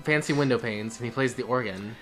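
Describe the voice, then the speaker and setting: A man's voice: medium pitch, brisk, soft background music, poor audio. A man co-commentating on a video game in casual banter, dryly making wisecracks.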